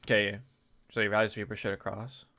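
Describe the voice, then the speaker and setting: Male video host, bright nasal tone, under the weather, casual and chatty breakdown of a match. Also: on the low side. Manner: chatty, clears throat often, restarts. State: sick, slightly nasal. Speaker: YouTube host analyzing a game replay. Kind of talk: casual game analysis commentary.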